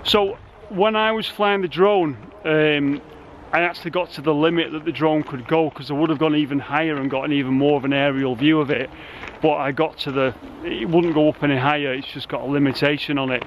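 A middle-aged man with a fairly high voice, talking excitedly and rambling with lots of exclamations. He holds the camera himself outdoors, with a faint hiss of outdoor noise behind him. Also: a little fast, lo-fi sound. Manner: enthusiastic, rambling, full of exclamations. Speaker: urban explorer vlogger. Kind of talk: casual exploring vlog with narration. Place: outdoors inside a huge concrete cooling tower. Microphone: camera mic, handheld selfie framing.

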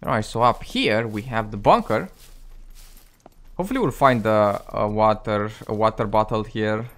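A man's voice: lowish voice, moderate pace, fairly bright tone. A man with a tired, cracking voice giving casual, chatty commentary over his survival gameplay, joking at his own mistakes and talking to viewers. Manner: chatty, self-deprecating, talks to viewers. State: voice cracking, going hoarse. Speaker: gaming channel host.

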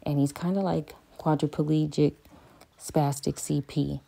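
A woman in her thirties, a parent vlogging home therapy with her child, speaking in a calm, monotone, matter-of-fact way from a phone camera in a small playroom. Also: sits low, unhurried, creaky. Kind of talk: home therapy vlog, talking while working with her son. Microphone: phone camera on a stand a few feet away.

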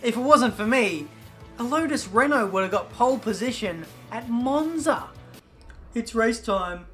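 A man with a British accent talking over his racing game in a chatty, excitable play-by-play, his voice a little croaky. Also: very high voice, a little slow, soft background music. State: slightly croaky. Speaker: gaming YouTuber.

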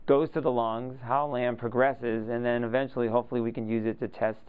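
A middle-aged man scientist talks through his research in a thoughtful, earnest manner. His voice is smooth and resonant, but the recording sounds thin and phone-like. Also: medium pitch, level tone, fairly quick. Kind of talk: explanatory interview to camera. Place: office indoors.

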